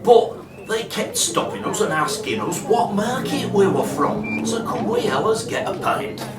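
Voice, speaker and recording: Actor as northern rugby fan, Yorkshire accent, highish lively voice, colloquial, radio play, distant with crowd behind. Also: male voice, unhurried. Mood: storytelling. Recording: echoey, distant, with crowd noise.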